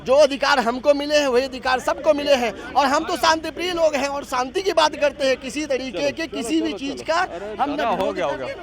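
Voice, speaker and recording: A man protester giving an insistent street interview outdoors, arguing through rhetorical questions with halting restarts. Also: extremely high pitch, a crowd in the background. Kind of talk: vox-pop political argument.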